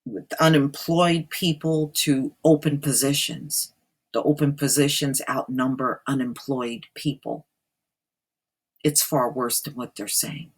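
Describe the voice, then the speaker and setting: A woman commentator with a husky voice, reading aloud and commenting emphatically as she addresses her listeners directly. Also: very deep voice, fairly even intonation, unhurried. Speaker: online commentator and host.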